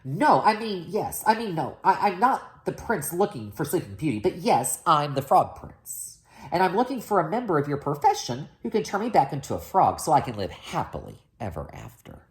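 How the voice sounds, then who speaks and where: A woman's voice: sits low, big pitch swings, moderate pace, voice pushed up. A woman voicing a hapless young storybook prince, earnest, polite and flustered, often pleading. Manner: polite, flustered, repeats himself.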